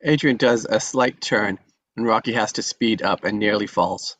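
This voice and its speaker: Low-voiced man reading stage directions. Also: moderate pace, a bit bright, no echo. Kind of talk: reading script action aloud.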